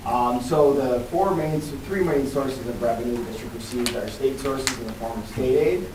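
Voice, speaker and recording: A man giving a formal, procedural presentation as a budget official at a meeting, in a halting way. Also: mid-range voice, dark tone. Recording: echoey, distant mic, faint noise.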